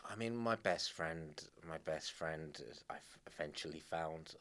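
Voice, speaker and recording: A middle-aged man with an English accent, Persian his first language, talking casually as a podcast guest in a halting, rambling way full of restarts. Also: extremely low pitch, fairly bright tone. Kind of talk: casual conversation.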